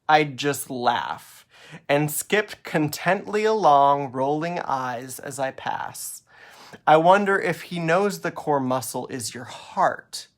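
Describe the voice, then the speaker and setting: A middle-aged man reading his own poem aloud in a dramatic, rhythmic spoken-word delivery with deliberate pauses. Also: fairly high pitch, fairly bright tone. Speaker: poet reading own work.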